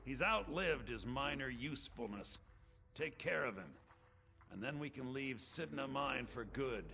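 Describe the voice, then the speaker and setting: An actor voicing an embittered, calculating rebel leader in prison in a video game, philosophical and quietly menacing. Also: male voice, medium pitch, expressive, measured pace, vocal fry, a little hiss or hum, full character voice. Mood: cold, commanding.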